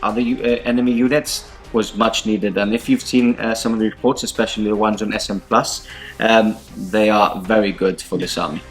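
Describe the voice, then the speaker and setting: A man's voice: on the low side, steady tone, a little fast, faint music behind. A man whose first language is Spanish, hosting a tabletop wargame show with casual, unscripted rundowns. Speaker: host of a tabletop wargame battle report show.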